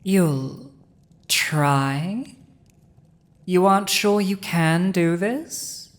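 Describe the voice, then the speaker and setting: A woman plays a cunning dragon who rules in human form, in an intimate fantasy audio drama. The voice sits somewhat low and moves very slowly, with deliberate pauses. The delivery is haughty, smug and commanding, addressed straight to the listener. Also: expressive. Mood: incredulous, frustrated.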